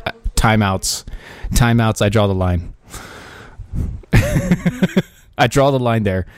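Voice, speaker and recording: A man's voice: on the low side, slow, smooth, no room sound. A man hosting a podcast, giving casual political commentary in a sarcastic, ranting way with frequent restarts and fillers. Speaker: podcast host.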